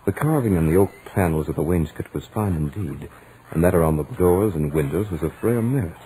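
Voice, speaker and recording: A man playing a scholarly gentleman lodger, narrating a haunted-house ordeal with dramatic, deliberate emphasis in 1940s radio style. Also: low-pitched, expressive, a little hiss or hum, low-quality recording. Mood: measured, reflective. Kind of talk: 1940s radio horror acting, first-person narration. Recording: vintage 1940s radio recording, music behind.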